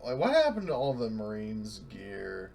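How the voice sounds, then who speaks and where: A man with a creaky, mid-pitched voice talks casually and matter-of-factly during a tabletop game. His microphone is clearly noisy, with clicks. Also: sing-song, moderate pace, a little mellow.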